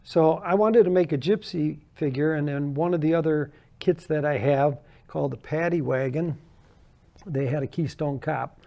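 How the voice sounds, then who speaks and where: A man's voice: on the high side, moderate pace, gravelly, warm tone. An older American man, a guest in an interview, chatting casually and telling anecdotes about his hobby with frequent laughs. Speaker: guest model builder.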